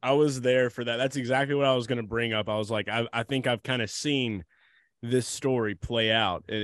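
An American man hosts a casual chat in a mid-pitched voice. He phrases things loosely and informally, full of slang, in a dry recording with little room sound. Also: no echo. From New Orleans area, United States. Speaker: podcast host.

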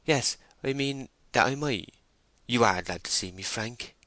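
A timid young woman from a storybook, acted out by a man, pleads in a lifted, bright voice. She is tremulous and hesitant, meek and hopelessly in love, recorded close and dry. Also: medium pitch, measured pace, dry recording, pitched above the performer's own voice. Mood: hopeful, faltering.

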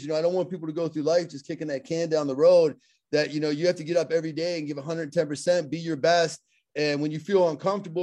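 A middle-aged man speaks with a Pittsburgh accent in a slightly high voice. He talks earnestly in a loose interview style, often repeating words and restarting his sentences. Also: a little mellow. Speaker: guest, motivational speaker. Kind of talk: casual interview talk.

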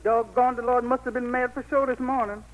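A woman's voice: on the high side, steady tone, brisk, close to an everyday voice, poor audio. An actress playing a chatty, exclaiming angel charwoman in an old radio play, folksy. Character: lady angel cleaner, chatty, exclaiming, know-it-all. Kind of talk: 1940s-50s radio play acting. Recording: vintage radio broadcast recording.

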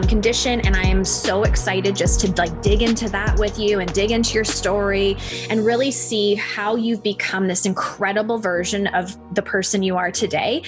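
A woman hosting a podcast, introducing her guest in an effusive, encouraging way with some hesitant restarts. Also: mid-range voice. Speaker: podcast host. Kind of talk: warm interview podcast.

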